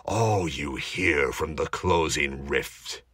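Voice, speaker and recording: A man's voice: rumbling low, slow pace. A man giving dramatic scripted narration of an action story in an amateur audio drama. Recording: noisy amateur recording with heavy background noise.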